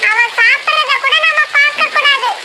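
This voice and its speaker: A performer voicing a young, earnest cartoon bird in a children's moral story, speaking clearly like a dutiful child. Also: very high-pitched, moderate pace, heavy background noise. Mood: indignant. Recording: music bed behind the voice.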